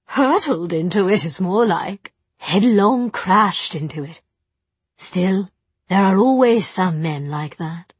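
A woman's voice: medium pitch, expressive, slow pace, husky, no room sound. A woman voicing an amused, worldly male warlock in an audiobook, speaking in a wry and knowing way. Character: immortal male warlock, amused, worldly, sardonic dinner guest. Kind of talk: audiobook character voice.

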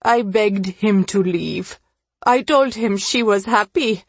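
A woman voicing a tearful, guilt-ridden elderly man in an audiobook, speaking slowly and haltingly with sorrow. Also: medium pitch, husky, dry recording. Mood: pained, remorseful. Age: older. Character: grief-stricken guilt-ridden elderly Indian man confessing a secret. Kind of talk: audiobook character acting.

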